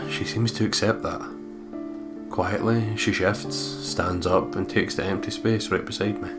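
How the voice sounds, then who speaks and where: A low male voice reads visual novel narration aloud as a let's play host, with casual, playful asides. Clearly audible guitar music plays underneath him. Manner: playful, breaks into laughter and asides.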